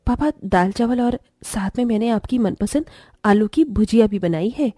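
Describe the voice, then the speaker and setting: A woman voicing a gentle, earnest young daughter-in-law from a poor family in a cartoon story. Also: fairly high pitch, animated, moderate pace, no room sound, clean studio sound. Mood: tender, caring. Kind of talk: Hindi cartoon story voice acting.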